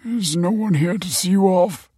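A man's voice: high voice, normal speed, mellow, husky, no room sound, voice pushed up. A male narrator voicing a bitter, anxious man just out of prison, tense in manner. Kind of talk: audiobook character voice.

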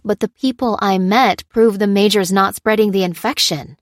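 An anguished young woman researcher in an audiobook drama, acted by a female narrator. She speaks urgently and insistently, lifted in pitch and closely recorded in a dry space. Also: normal speed, no echo, close to an everyday voice. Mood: tense, earnest.

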